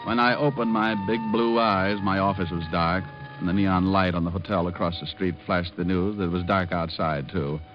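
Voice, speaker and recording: A deep-voiced, unflappable gumshoe played by a man from an old radio noir. He is dry and flippant, as if nothing impresses him. The sound is dull and dated. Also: steady tone, moderate pace, music in the background, natural voice. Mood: weary narrating. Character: wisecracking, unflappable hard-boiled private detective. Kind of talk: 1940s-50s radio detective acting and noir narration. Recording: muffled vintage radio recording.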